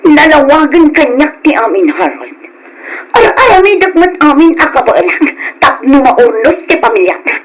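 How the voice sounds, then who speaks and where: A woman's voice: sits high, big pitch swings, moderate pace, rough recording. A Filipino woman playing a wronged young wife, bitter, accusing and emotional, in an old radio drama.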